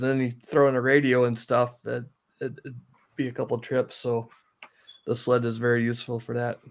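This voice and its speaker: A man, explaining things in a practical, low-key way as a guest in a casual interview. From northern Wisconsin, United States. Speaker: guest, outdoor amateur radio operator. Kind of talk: casual live stream interview.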